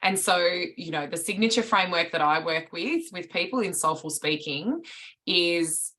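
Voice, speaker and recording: Low, bright-voiced woman coach. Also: expressive, moderate pace. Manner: articulate, reflective, restarts mid-sentence. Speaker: public speaking coach. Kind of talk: podcast interview answers.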